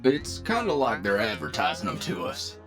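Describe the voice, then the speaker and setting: A man's voice: medium pitch, wildly swooping pitch, moderate pace. A man playing a wry, talkative bounty hunter team leader in an anime-style audio roleplay, with a casual, folksy manner. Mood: explaining. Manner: casual, folksy, hesitant asides. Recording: music behind the voice.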